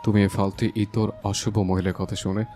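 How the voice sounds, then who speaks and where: Casting: male actor as passionate, protective young husband for romantic audio drama; low voice, emphatic delivery, music underneath. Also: flat delivery, normal speed, warm tone, natural voice. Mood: tender, consoling. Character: hot-tempered young husband, fiercely protective, tender with his wife. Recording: voice over a music bed.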